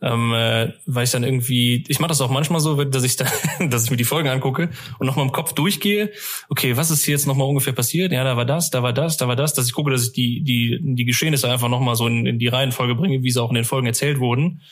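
A man's voice: mid-range voice, fast talker. A man hosting a fan podcast, chatting casually and thinking aloud in a colloquial, hesitant way.

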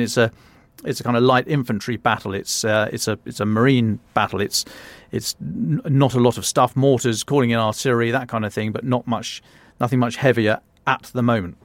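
A man's voice: medium pitch, no room sound. A British man presenting a news briefing as a podcast host, explaining informally with wry conversational asides. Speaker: podcast host and defence journalist.

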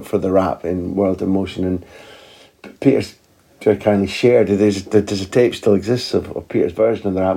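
A man's voice: lowish voice, mellow, husky. A man talking as a football journalist and co-host in a casual podcast chat, rambling and wry, with reflective asides.

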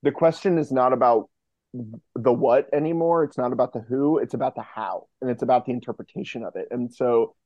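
A man's voice: mid-range voice, brisk, darkish tone, no room sound. A man answering as an interview guest, conversational and analytical, building his points emphatically in parallel phrases, on a dull-sounding recording. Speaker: podcast guest, fiction podcast co-creator.

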